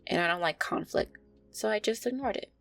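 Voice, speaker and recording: A woman's voice: lowish voice, brisk, gravelly, a bit bright. A woman playing an affectionate, easily flustered, centuries-old vampire girlfriend, talking intimately and hesitantly to her listener in an audio roleplay. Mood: earnest, reminiscing.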